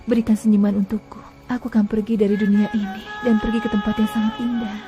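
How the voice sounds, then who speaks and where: Gentle mother camel , voiced by a woman, quick and soft, over a music bed. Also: mid-range voice, flat delivery, lightly acted. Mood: tender. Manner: soft, tender and pleading. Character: gentle motherly female she-camel, calm and devout. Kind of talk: dubbed cartoon acting.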